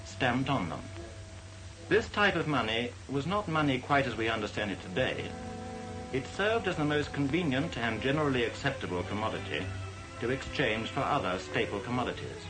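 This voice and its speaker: A man giving formal, measured, didactic narration in the style of a 1940s educational film. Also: medium pitch, low-quality recording. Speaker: documentary narrator. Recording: vintage 1940s film soundtrack. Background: music.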